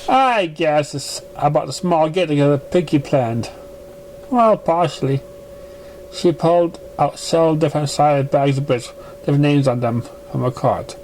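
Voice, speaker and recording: A man reading a story aloud as an amateur narrator, stumbling and halting over the words. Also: a little high, darkish tone, rough recording. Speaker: amateur fan fiction reader. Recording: noticeable background noise, amateur recording.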